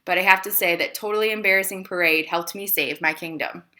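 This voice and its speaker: A woman playing a clever, self-justifying male emperor who tells his side of a children's storybook tale in an expressive, confiding way. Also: on the low side, fast. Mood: wry, sheepish. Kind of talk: children's storybook read-aloud.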